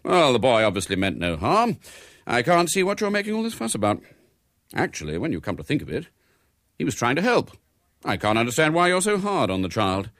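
A man's voice: fairly low pitch, animated, measured pace, a bit bright, dry recording, studio quality. A man voicing a stern, impatient middle-aged husband and father in a storybook.

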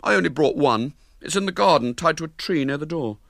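A man voicing an indignant, boastful young boy who talks in rough, ungrammatical speech. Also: on the high side, animated, a little fast, fairly bright tone, no echo, clean studio sound, voice pushed up. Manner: indignant, cheeky, common speech.

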